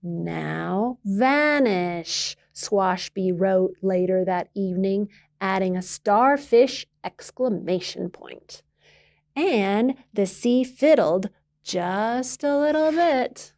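A woman in storytime mode, reading a picture book to children. She takes her time, stressing key words and pausing for drama, in a medium-pitched voice. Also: darkish tone. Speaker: library storytime reader. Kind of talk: expressive children's picture-book read-aloud.

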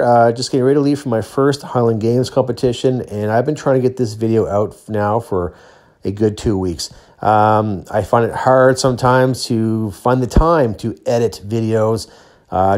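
A man's voice: medium pitch, normal speed, darkish tone. A middle-aged man giving a casual, plain-spoken voice-over of training footage, explaining as he goes. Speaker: athlete and training vlogger.

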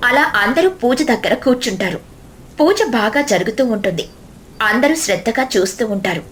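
A woman's voice: a little high, animated, brisk, a bit bright, a little hiss or hum. A woman storyteller reading a scripted children's story narration. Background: music.

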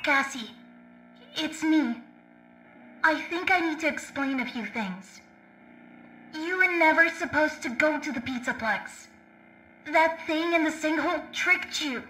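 An anxious young boy from a video game, with a very high voice. He speaks urgently and earnestly, like someone desperate to warn a friend. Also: expressive, a little slow, long pauses, pushed and bright, reverberant, slight background noise, heavily acted, low-quality recording. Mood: earnest, apologetic.

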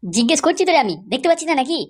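A performer acts out a blustering husband and father in a comedy cartoon. He is short-tempered and scolding. His voice is oddly high for a man and races along at a very quick clip. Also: big pitch swings. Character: hot-tempered, foul-mouthed father and husband.

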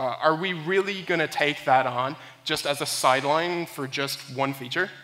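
A young man giving a prepared technology talk at a conference in a measured, explanatory way. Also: fairly high pitch, sharp tone. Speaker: software engineer, conference speaker. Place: indoor conference room, speaking on stage. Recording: reverberant hall sound.

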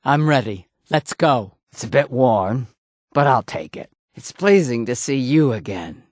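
A woman voicing a gruff, proud female orc warrior in a video game, blunt and menacing, with a gravelly, husky voice. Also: very deep voice, expressive, a little slow, a little mellow, dry recording, clean studio sound, heavily acted. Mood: blunt, curt. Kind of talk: video game character voice lines.

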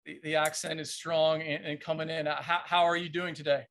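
A man's voice: fairly high pitch, flat delivery, a little fast, fairly bright tone. A middle-aged man hosting a podcast interview over a video call, eager and a bit stumbling, often repeating the first words of his sentences. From Washington, D.C., USA. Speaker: podcast host. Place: indoor room at home. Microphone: video call webcam.

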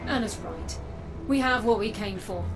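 A woman's voice: mid-range voice, natural voice. Woman acting as weary, resolute robot woman over music. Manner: earnest, measured, solemn. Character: weary, resolute robot woman, a guarded sister from dark future. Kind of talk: dramatic video game acting. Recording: game music behind the voice. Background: game soundtrack music.

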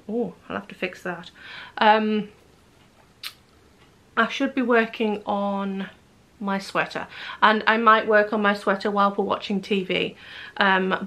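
A woman in her forties, a bit croaky, chatting casually to camera in her living room about her day and her knitting, with lots of ums. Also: mid-range voice, fairly bright tone. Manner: chatty, rambling with many ums. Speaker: knitting vlogger. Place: living room at home, indoors. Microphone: camera close in front.

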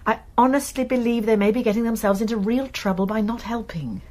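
A woman playing a college nurse and amateur sleuth in a radio drama, gentle, earnest and persuasive. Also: a little high, brisk, close to an everyday voice.

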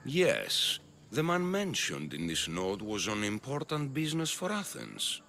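An actor playing a guarded, shrewd spymaster in a video game, measured and wary in manner. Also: male voice, mid-range voice, very animated, sharp tone, slight background noise, full character voice. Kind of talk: video game dialogue acting.

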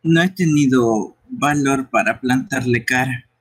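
Man as a slow-spoken, timid little creature. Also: medium pitch, slightly rough. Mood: ashamed. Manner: earnest, apologetic. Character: timid, earnest young fox-like creature, self-doubting but determined. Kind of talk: live amateur game dubbing.

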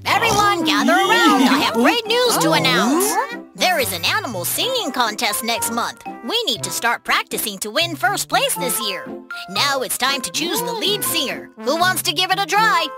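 A woman's voice: sits high, big pitch swings, moderate pace. A woman voicing a bossy but encouraging rooster choir leader in a children's cartoon, with theatrical announcements. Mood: excited announcing. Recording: music behind.

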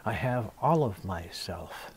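A man in his sixties, a poet, reading his own verse intimately, in measured phrases with deliberate pauses. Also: on the low side, animated, a little hiss or hum. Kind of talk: poetry reading, intimate recitation.

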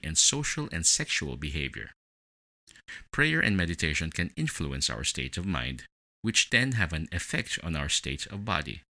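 A man with a deep voice narrates as a meditation podcast host. His delivery is scripted and deliberate, with a bright, forceful edge and lively rises and falls in pitch.

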